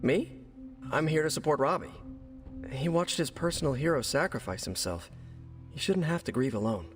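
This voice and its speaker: A man's voice: a little high, lively intonation, normal speed, long reverb tail, music in the background, close to an everyday voice. An actor playing a chatty, well-meaning young man, a glib supportive friend in a video game cutscene. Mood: breezy, earnest.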